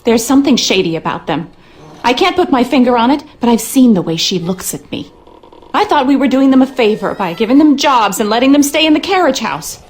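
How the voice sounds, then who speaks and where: High, animated suspicious, distraught wife. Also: female voice, a little fast. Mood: suspicious, demanding. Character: distraught, suspicious, demanding wife of a missing man. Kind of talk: video game character acting.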